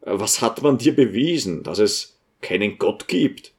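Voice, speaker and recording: A man voicing a stern, impatient, pious provincial priest in an audiobook, with a gruff, scolding manner. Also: fairly low pitch, animated, unhurried.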